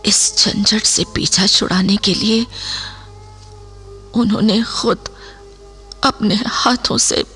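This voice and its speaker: A woman acting an impoverished, motherly widow in a Hindi radio play, long-suffering, with halting, plaintive phrasing. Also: mid-range voice, fairly even intonation, sharp tone, faint music behind. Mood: sorrowful.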